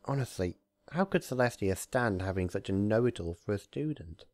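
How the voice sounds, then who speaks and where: A man reading a story aloud as narrator, in an expressive scripted storytelling style. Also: on the low side, normal speed, no room sound, studio quality. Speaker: narrator, fan fiction reader.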